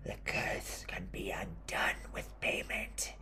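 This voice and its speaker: Frail old village crone, performed by a male narrator: soft raspy whisper, slow, ominous, haunted, for storybook horror. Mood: fearful, ominous. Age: older.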